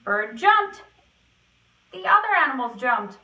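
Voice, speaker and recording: A woman's voice: high-pitched, very animated, slow, pushed and bright, far from the mic. A woman in her twenties reading a picture book aloud like a nursery teacher, in a roomy indoor recording. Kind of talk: children's storybook read-aloud. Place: small classroom-style room indoors. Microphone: camera a few feet away.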